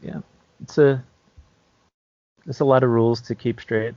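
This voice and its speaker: A man's voice: mid-range voice, fairly even intonation, unhurried, a little mellow, no room sound, highs cut off. A man chatting casually as a podcast co-host, laid-back and joking. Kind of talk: casual chat.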